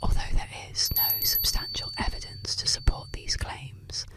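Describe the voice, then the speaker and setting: Whispering woman telling eerie bedtime legends. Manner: slow, hushed, deliberate phrasing with pauses. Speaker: ASMR host and storyteller. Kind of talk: whispered ASMR scripted narration of eerie legends. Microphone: close-up microphone.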